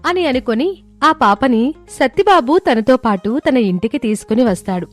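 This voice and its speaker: A woman's voice: sits high, expressive, moderate pace, faint music behind. A woman storyteller reading scripted narration for a moral story.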